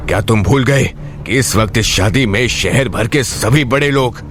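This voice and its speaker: A man's voice: mid-range voice, moderate pace, a bit bright, a little hiss or hum, lightly acted. A man playing a stern, authoritative old patriarch of a wealthy family in a Hindi audio drama. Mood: angry, indignant. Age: older. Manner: authoritative, indignant. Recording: music under the voice.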